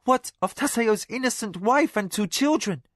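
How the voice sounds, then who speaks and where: A man's voice: very high voice, animated, normal speed, a bit bright, dry recording, studio quality, pitched above the performer's own voice. A man voicing a desperate young noblewoman who pleads urgently, in an audiobook reading. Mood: pleading.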